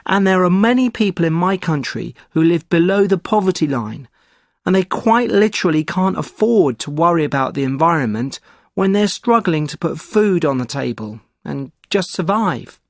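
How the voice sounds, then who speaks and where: A man reading sample speaking-test answers in a measured, opinionated way with thoughtful pauses. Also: a little high, animated, no room sound, clean studio sound. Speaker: sample exam candidate. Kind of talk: scripted exam answer reading.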